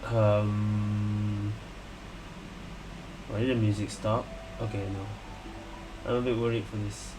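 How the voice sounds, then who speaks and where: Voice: sits low, level tone, takes it slowly, pauses often, background noise. A gaming video host giving casual let's-play commentary, hesitant and often repeating phrases while reacting. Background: game music.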